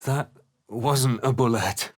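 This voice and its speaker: An actor playing a brooding fantasy adventurer in a game, narrating his quest in a grave, measured, deliberate way. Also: mid-range voice, dry recording, clean studio sound. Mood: somber, reflective. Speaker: video game player-character voice. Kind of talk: dramatic first-person game narration.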